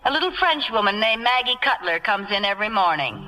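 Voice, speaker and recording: A woman playing a quick-witted, sardonic secretary in an old radio comedy, with a dry, brisk manner. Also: mid-range voice, expressive, pushed and bright. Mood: coolly catty. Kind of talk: 1940s radio comedy acting. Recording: noisy old radio broadcast.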